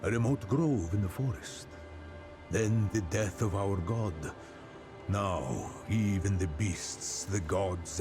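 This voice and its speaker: A man playing a grave, devout elder storyteller of a dying forest people in a fantasy game, halting and musing in delivery. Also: on the low side, heavily acted. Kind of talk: theatrical fantasy game dialogue. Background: music.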